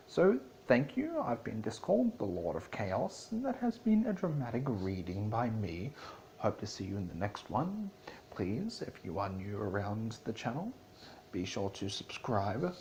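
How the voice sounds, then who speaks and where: A man's voice: lowish voice, darkish tone, slight background noise, poor audio. A man playing a flamboyant male lord of chaos who presents a dramatic story reading in a theatrical manner.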